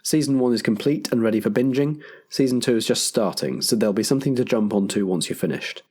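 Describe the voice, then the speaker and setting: A man's voice: medium pitch, fast, a little mellow. A man acting as compere, delivering scripted programme notes as a podcast host.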